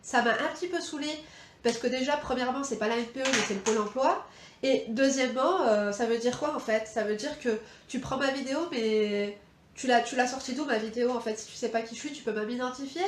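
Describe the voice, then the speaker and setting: High-voiced chatty French woman vlogging. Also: brisk, roomy sound, a little hiss or hum. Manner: rambling, lots of fillers and slangy asides. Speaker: beauty vlogger. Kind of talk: casual chatty makeup tutorial. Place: indoor room at home.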